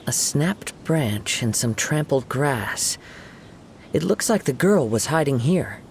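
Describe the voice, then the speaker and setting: A composed young swordsman speaks in a game, his pitch sitting in an ordinary middle range. He talks softly and in measured phrases, never in a hurry. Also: slight background noise. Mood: calm. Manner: calm, measured, soft-spoken. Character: calm young male wandering samurai, composed.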